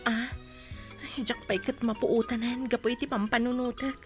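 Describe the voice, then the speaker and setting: A woman's voice: on the high side, steady tone, normal speed, soft background music, close to an everyday voice. An actress with an Ilocano accent playing an anxious young wife waiting for news of her husband in a radio drama.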